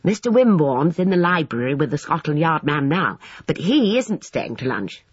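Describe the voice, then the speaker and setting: A woman's voice: sits low, big pitch swings, moderate pace, husky, exaggerated voice. A woman voicing an eager, polite, chatty schoolboy full of enthusiastic slang in an audiobook. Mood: chatty, informative. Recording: dull, roomy, echoing sound.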